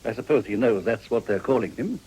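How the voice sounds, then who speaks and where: An actor playing a weary older government minister in a period radio drama, brisk and understated. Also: male voice, on the low side, expressive, natural voice, low-quality recording. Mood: weary, businesslike.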